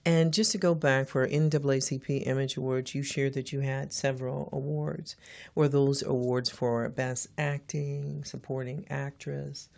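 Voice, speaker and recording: Low gravelly eager interview host woman. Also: little pitch movement, moderate pace. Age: 40s. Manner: eager, laughs loudly, many fillers. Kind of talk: casual interview chat.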